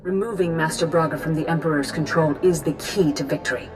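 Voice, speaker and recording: A woman playing a stern, grave Jedi grand master in a video game, measured and authoritative. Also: low-pitched, lightly acted. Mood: grave, urgent. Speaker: military and spiritual leader. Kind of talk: dramatic scripted game acting. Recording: music behind the voice.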